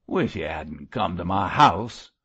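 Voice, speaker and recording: A man voicing a surly, defiant Cockney murderer in an audiobook, gruff and rough-spoken. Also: fairly low pitch, big pitch swings, moderate pace, dull audio, full character voice. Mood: grudging regret. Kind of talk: audiobook character voice.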